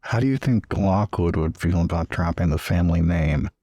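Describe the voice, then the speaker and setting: Very low-voiced man playing tabletop games. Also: normal speed, dark tone, dry recording, studio quality. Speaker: tabletop game player. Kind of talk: casual in-game chat.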